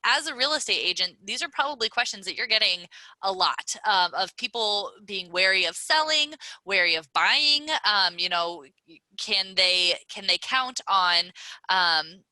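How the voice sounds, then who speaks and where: A woman co-hosts a business podcast in a loose, conversational way, often pausing on "um" as she frames her questions. Her voice is very bright and edged, and it is recorded dry and close with no room sound. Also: mid-range voice, no room sound, low-quality recording. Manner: conversational, many "um"s, guiding questions. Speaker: podcast co-host.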